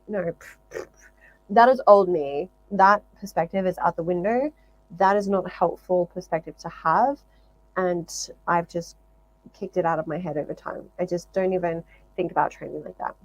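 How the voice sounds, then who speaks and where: A woman's voice: medium pitch, a little mellow, low-quality recording. A woman talking casually to viewers as a fitness vlogger, explaining things emphatically and often repeating phrases in lists.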